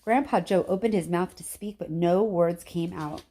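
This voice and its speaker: A woman teacher reading a children's story aloud in an expressive narrating style. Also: on the low side, normal speed.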